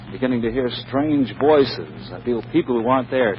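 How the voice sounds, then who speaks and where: Actor as brash boyfriend, breezy, sardonic, slangy, very expressive intonation, 1940s radio comedy, muffled old recording. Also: male voice, medium pitch, brisk, background noise, lightly acted. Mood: impatient. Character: brash, needling, impatient boyfriend.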